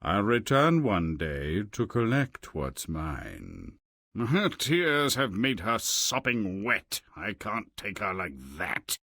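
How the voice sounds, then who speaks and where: A man voicing a cold, scheming devil in a fairy tale video game, with measured menace. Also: on the low side, big pitch swings, pushed and bright, no echo, clean studio sound, exaggerated voice.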